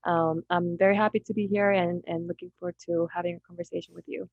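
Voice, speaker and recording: Woman panelist, lowish voice, dry close mic, earnest. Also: flat delivery, fast talker, dry recording, phone-quality sound. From Korea. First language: Korean. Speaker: memoir author, panelist. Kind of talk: online panel discussion.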